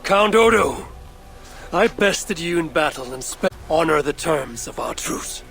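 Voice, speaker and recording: An actor playing a steadfast, diplomatic Viking raider in a video game cutscene, firm and measured. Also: male voice, a little high, lively intonation, creaky, fairly bright tone, faint music behind, heavily acted.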